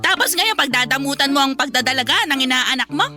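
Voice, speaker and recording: A woman's voice: high-pitched, animated, fast talker, bright, forceful tone, soft background music, exaggerated voice. An actress playing a pushy, nagging middle-aged Filipina mother in a radio drama, scolding and wheedling in a Filipino accent. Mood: indignant, reproachful. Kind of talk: radio drama acting in a love story podcast.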